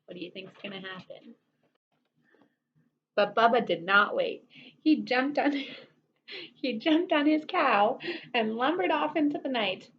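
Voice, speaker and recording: High-voiced woman reading a children's story. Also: a little slow, pauses often. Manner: animated asides to young listeners. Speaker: storybook reader. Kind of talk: expressive children's story read-aloud. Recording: dull sound with faint background noise.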